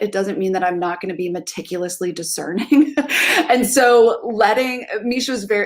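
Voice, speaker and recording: A woman in her thirties with a medium-pitched voice answers interview questions from a room at home. She talks casually but thoughtfully, leaning on key words as a theater director explaining her process, heard over a video call.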